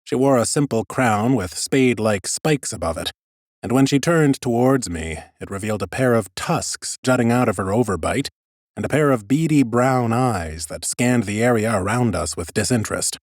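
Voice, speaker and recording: A man's voice: medium pitch, expressive, moderate pace, no echo. A man narrating scripted first-person fantasy audiobook prose with wry understatement, close on a studio mic. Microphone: close studio microphone.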